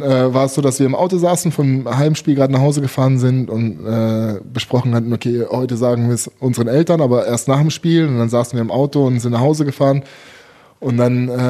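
A man's voice: mid-range voice, mellow. A young man born in the former Soviet Union, a handball player, telling stories in a casual talk-show chat, chatty and laughing at himself often.

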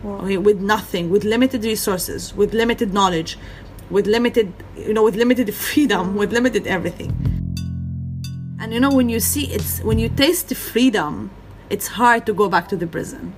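A woman's voice: mid-range voice, moderate pace, soft background music. A young woman from Gaza, speaking in earnest over a video call with repeated phrases and "you know". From Gaza, Palestine. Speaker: interviewee. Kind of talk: earnest personal testimony.

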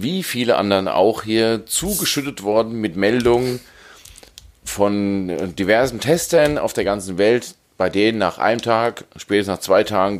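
A man co-hosting a casual tech podcast, relaxed and quick to laugh as he explains the news. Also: lowish voice. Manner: laughs easily, relaxed banter. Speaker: podcast co-host.

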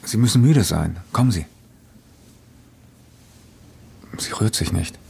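A man's voice: lowish voice, expressive, fairly quick, creaky, a little mellow, husky, full character voice. An actor playing a thoughtful, suspicious man among friends in a naturalistic audio drama. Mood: subdued, resigned.

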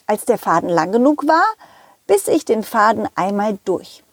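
A woman telling a story to children in the first person, with expressive emphasis and direct address to young listeners. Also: medium pitch, normal speed, breathy edge, dry recording.

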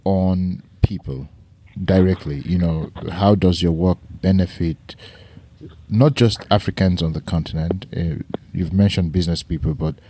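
A man hosting a radio interview, introducing his guest and asking questions in a formal, unhurried way. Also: deep voice, steady tone, warm tone, a little hiss or hum. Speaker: radio show host.

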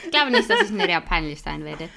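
A woman's voice: lowish voice, wildly swooping pitch, a little fast, gravelly, crowd noise. Chatty young woman hosting a podcast. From Switzerland. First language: Swiss German. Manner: chatty, laughs often, many fillers. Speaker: podcast host. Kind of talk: casual podcast chat.

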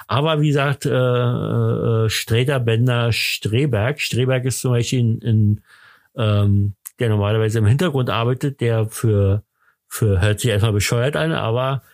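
Male podcast host, mid-pitched, talkative and enthusiastic, hesitant rambling delivery, very dry sound. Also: a little slow, a little mellow, no room sound. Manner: talkative, rambling, hesitant with many broken-off sentences. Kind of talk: casual, chatty podcast conversation.

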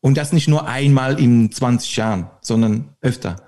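A man's voice: medium pitch, moderate pace, warm tone. A middle-aged man with a German regional dialect, talking at length as a podcast guest, full of restarts and laughter. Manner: rambling, many restarts, laughs often. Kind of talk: casual podcast conversation.

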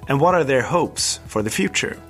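A man's voice: mid-range voice, expressive, normal speed, fairly bright tone, soft background music. A man from Sweden hosting a podcast, reading a scripted intro and then chatting loosely with fillers. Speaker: podcast host. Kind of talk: podcast interview.

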